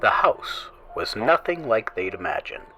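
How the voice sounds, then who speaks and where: A man hosting a horror story show, reading scripted tales in a slow, theatrical voice that often drops into hushed whispers. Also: fairly low pitch, big pitch swings, vocal fry, soft background music, rough recording. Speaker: horror show host and narrator.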